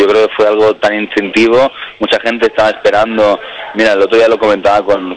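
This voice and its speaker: Deep-voiced man rambling on a phone line. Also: slight background noise. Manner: eager, rambling, many restarts and fillers. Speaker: guest, protest camp spokesperson. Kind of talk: phone interview. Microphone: telephone.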